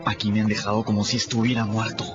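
Actor as a desperate imprisoned gentleman, muffled. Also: on the low side, flat delivery, fast talker, lightly acted. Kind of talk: radio drama acting. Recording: dull radio recording under music.

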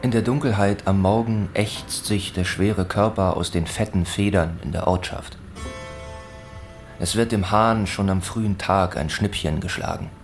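A man narrating a literary radio play with terse, deliberate phrasing. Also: sits low, soft background music.

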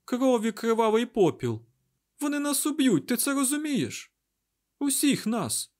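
A man's voice: on the high side, expressive, normal speed, a little mellow, pitched above the performer's own voice. A man voicing a panicky, hot-tempered young man in an audiobook, agitated, sputtering and exclamatory. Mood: panicked, angry.

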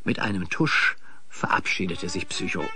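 An actor narrating a children's radio play in a measured, scripted storytelling style. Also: male voice, low-pitched, music in the background, natural voice.

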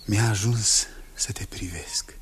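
An actor playing a brave young hero who speaks earnestly and resolutely, sometimes in a hushed voice, in a 1960s radio drama. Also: male voice, on the low side, in a whisper, close to an everyday voice.

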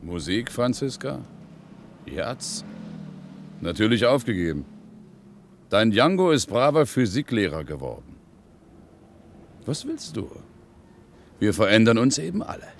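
Lowish, wry, resigned man. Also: sing-song, measured pace, long pauses, a little hiss or hum. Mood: resigned, probing. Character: resigned, envious man questioning an old girlfriend. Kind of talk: radio play acting.

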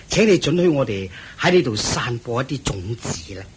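An actor playing a devout lay host who eagerly and respectfully serves the Buddha, in a radio play. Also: male voice, mid-range voice, very animated, moderate pace, heavy background noise, natural voice. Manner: respectful, eager, fussing politely. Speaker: householder hosting the Buddha. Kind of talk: Buddhist radio drama acting.

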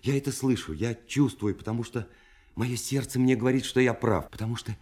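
An actor in a Russian radio play, voicing a shy, lovelorn young man who speaks haltingly and fervently, pleading and self-effacing. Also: medium pitch, a little mellow, close to an everyday voice. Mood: agitated, defiant.

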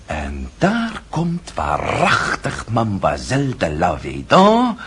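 A man's voice: on the low side, wildly swooping pitch, slow, a bit bright, slight background noise, full character voice. An actor playing a mocking, self-assured nobleman in a period radio drama, speaking with ornate, icy politeness. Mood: sardonic, triumphant.

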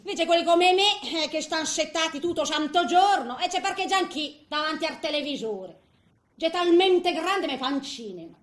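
A woman's voice: extremely high pitch, lively intonation, moderate pace, roomy sound. An actress playing a feisty, grumbling woman of eighty in a theatrical comic monologue, with a Tuscan accent and muttered, exclamatory asides. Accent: Tuscan Italian. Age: older. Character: feisty, grumbling eighty-year-old woman in a nursing home.

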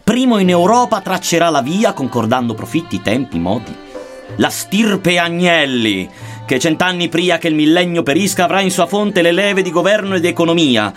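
A man reciting an archaic satirical verse narrative in a solemn, measured, declamatory manner. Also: on the high side, expressive, fairly bright tone, soft background music. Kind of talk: dramatic poetry recitation in archaic Dantean verse.

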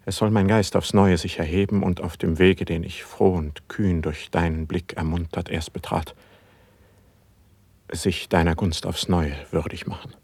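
A brooding, humble yet insistent poet in a classic verse radio drama. The voice is low and husky, recorded very dry. The lines are declaimed with solemn, formal fervor. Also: male voice, fairly even intonation, measured pace, a little mellow, no room sound, heavily acted. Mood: grateful, solemn.

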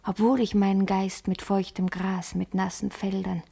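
An actress playing a guarded, self-conscious woman in a literary radio drama, speaking deliberately and poetically. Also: mid-range voice, level tone, creaky, mellow, breathy edge. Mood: bitter, wounded.

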